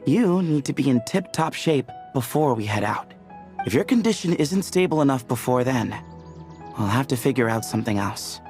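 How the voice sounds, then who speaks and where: A cheerful young adventurer from a game, relaxed and agreeable, voiced by a man. His voice swings lively up and down. Quiet music sits behind him. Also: mid-range voice, normal speed, close to an everyday voice. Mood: easygoing. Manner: upbeat and agreeable. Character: friendly, easygoing young male adventurer.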